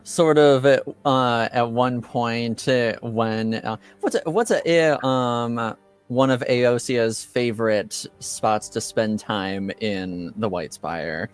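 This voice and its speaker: A man working as game master, improvising tabletop game narration hesitantly, with many halting pauses. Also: mid-range voice, smooth, faint music behind.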